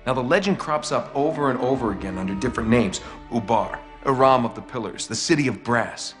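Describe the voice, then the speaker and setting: A man's voice: mid-range voice, a little fast, close to an everyday voice. A man playing a confident, eager treasure hunter in a game cutscene, talking conversationally as he explains things. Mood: eager, explaining. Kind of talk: naturalistic video game cutscene acting. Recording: game music behind the voice.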